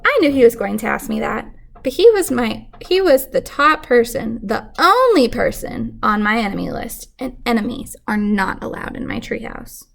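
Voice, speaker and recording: A woman's voice: fairly high pitch, big pitch swings, moderate pace, vocal fry. A woman reading a children's storybook aloud expressively, like a teacher with a class lesson.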